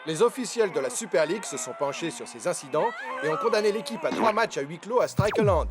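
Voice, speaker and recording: A cartoon TV sports reporter. He has a high voice for a man and announces everything with emphasis. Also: expressive, fast, very noisy. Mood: emphatic. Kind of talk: French cartoon dubbing.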